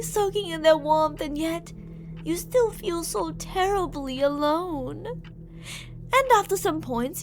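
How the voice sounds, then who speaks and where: A woman's voice: high voice, slight background noise. A woman voicing an obsessive, menacing shapeshifting monster who coaxes and taunts intimately in an ASMR horror roleplay. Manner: intimate, taunting, possessive, with drawn-out phrases. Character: obsessive female shapeshifting monster posing as a lover, menacing, coaxing.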